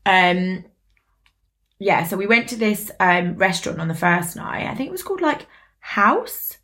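A woman co-hosting a casual podcast chat, her voice husky, talking in a chatty way with lots of fillers and laughs. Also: medium pitch, sing-song, measured pace, fairly bright tone. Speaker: podcast co-host.

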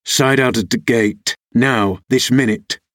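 A surly, proud young rustic from a classic storybook, played by a male narrator. He is gruff and growling, with a sullen temper. The sound is close and dry. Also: medium pitch, slow pace, fairly bright tone, no echo. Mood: angry, gruff.

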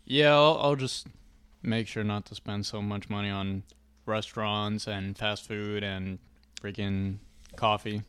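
A man co-hosting a podcast, chatting in a relaxed way with brief replies. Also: on the low side, measured pace. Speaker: podcast co-host. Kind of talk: casual chat.

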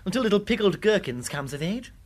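A man playing a gossipy, foppish upper-class English gentleman in a radio drama, full of old-fashioned slang. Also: high voice, sing-song, quick pace. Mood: gossipy, jovial. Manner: slangy, tagging remarks with "what?".

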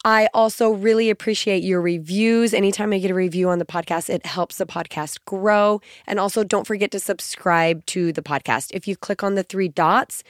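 A woman in her thirties hosting a podcast, talking in an earnest, encouraging way with a scratchy, gruff voice and a stuffy nose from a cold. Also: medium pitch, steady tone, moderate pace, hardly any pauses, no room sound, clean studio sound. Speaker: podcast host. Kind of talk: motivational podcast talk.

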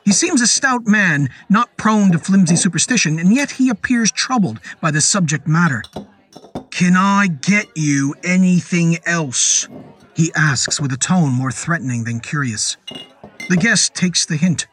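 A man narrating a scripted horror story in a deliberate, literary storytelling manner. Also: fairly high pitch, expressive, a bit bright, slight background noise. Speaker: narrator and performer.

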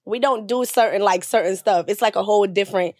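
A woman's voice: mid-range voice, fast talker, a little mellow, dry recording, studio quality. A woman, co-hosting a podcast and chatting in a teasing way. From Jamaica. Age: 25 or older. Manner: chatty and teasing, laughs easily. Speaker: podcast co-host. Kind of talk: casual chat.

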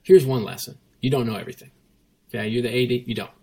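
A man's voice: mid-range voice, quick pace, a little mellow. A man, a former chief executive, telling a story in a casual interview, emphatic and given to repeating his points and quoting others. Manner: emphatic, repetitive, quotes himself and others. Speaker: former CEO, guest.

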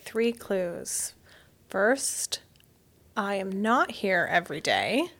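A woman reading a children's story aloud in an expressive, deliberate storytelling manner. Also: medium pitch, vocal fry. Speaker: mystery guest reader, school staff. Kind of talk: children's audiobook read-aloud.

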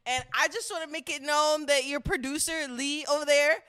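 A woman's voice: high-pitched, fairly even intonation, moderate pace, a bit bright. A woman co-hosting a podcast, chatting casually with friends. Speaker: podcast co-host. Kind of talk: casual group chat.

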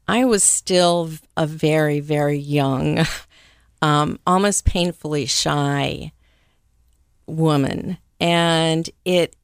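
Low-voiced woman, thoughtful interview guest. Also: clear, resonant tone, dry recording, studio quality. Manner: soft-spoken, thoughtful, with frequent pauses. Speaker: interview guest, travel business owner. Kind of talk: conversational interview.

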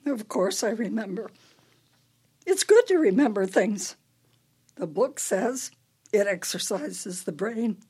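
A woman's voice: mid-range voice, animated, slow, pauses often, warm tone, husky, full character voice. A woman playing an imperious, brittle older wife with a failing memory in a radio play, her delivery theatrical and needling. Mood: wistful.

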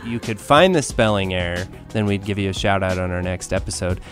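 A man's voice: deep voice, a little fast, music in the background. A man co-hosting a podcast in a casual, upbeat, promotional way. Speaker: podcast co-host.

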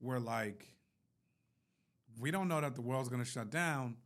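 A man's voice: medium pitch, measured pace, a bit bright. Encouraging male podcast host. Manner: encouraging, with frequent short backchannels. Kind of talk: casual interview chat.

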